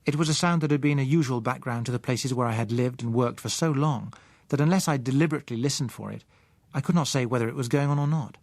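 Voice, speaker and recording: A man reading a first-person novel as an audiobook narrator, measured and articulate. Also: medium pitch, darkish tone, no echo.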